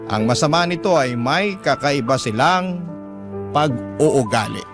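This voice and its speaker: A man's voice: medium pitch, animated, a little slow. A man reading a letter aloud as dramatic first-person narration for a radio drama. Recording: clear background music under voice. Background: music.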